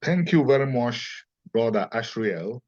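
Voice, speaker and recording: A man's voice: medium pitch, lively intonation, darkish tone. Earnest Igbo-accented man, lo-fi audio. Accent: Nigerian Igbo. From Nigeria, Igbo land. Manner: earnest, emphatic, deliberate. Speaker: scholar and interview guest. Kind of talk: interview, lecturing. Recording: dull lo-fi audio.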